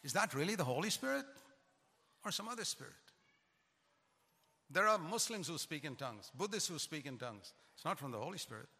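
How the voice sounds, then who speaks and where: A man's voice: on the high side, sing-song, brisk, gravelly, pauses often, pushed and bright, husky, long reverb tail. A man who is a pastor, preaching a sermon with emphatic, repetitive cadence.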